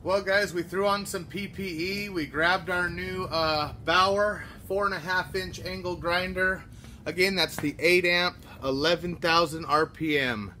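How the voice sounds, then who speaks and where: A man in his thirties casually reviewing a power tool in his shop, relaxed and full of fillers. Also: sits high, a little slow, a little hiss or hum. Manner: relaxed, filler-heavy, practical shop talk. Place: under a lowered truck, then inside an auto shop.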